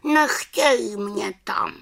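A little witch from a children's storybook, with a very high, bright, childlike voice. She is stubborn and sulky, pressing her words with lively emphasis. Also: gravelly. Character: small young witch girl, aged 127, childish and stubborn.

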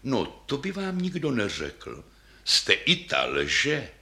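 A man reading a storybook voices a female double bass who is gracious and a little flattering. Her voice is deep yet sonorous and bright, with lively pitch. She speaks softly and politely. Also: heavily acted. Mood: admiring. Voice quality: deep but slightly higher, sonorous.

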